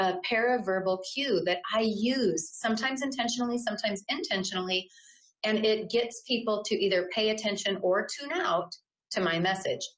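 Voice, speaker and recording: Loud, chatty woman presenting a webinar. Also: mid-range voice, low-quality recording. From Southern United States. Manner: enthusiastic, conversational, often asks "right?"; loud voice that carries.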